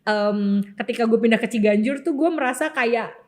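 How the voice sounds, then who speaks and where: A young adult woman with a Jakarta Indonesian accent chatting casually as a podcast host, animated and slangy, dropping in English phrases. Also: fairly high pitch, moderate pace. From Jakarta area, Indonesia. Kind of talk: casual nostalgic chat.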